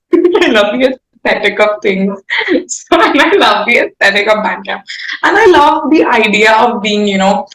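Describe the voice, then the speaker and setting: A woman's voice: medium pitch, lively intonation, moderate pace, a bit bright. Echoey, effusive young woman, chatting. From India. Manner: informal, effusive, peppered with "like". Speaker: singer-songwriter guest. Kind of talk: candid casual interview chat. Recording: roomy, echoing sound.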